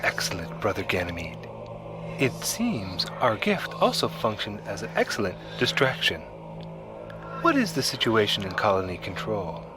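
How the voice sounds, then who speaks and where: An actor playing a sinister male cult leader who speaks in a measured, hushed, formal way. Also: fairly low pitch, huge pitch swings, music in the background. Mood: calm menace.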